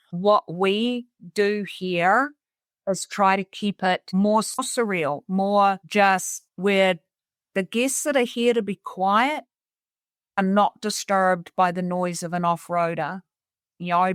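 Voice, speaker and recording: Middle-aged New Zealand woman, clear smooth voice. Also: mid-range voice, a bit bright, no room sound, clean studio sound. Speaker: interview guest, cabin resort owner. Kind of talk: conversational personal storytelling.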